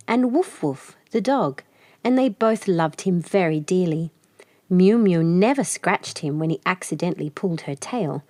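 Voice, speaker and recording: A woman narrating a children's storybook in an expressive storytelling manner, acting out the characters. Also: medium pitch, no room sound.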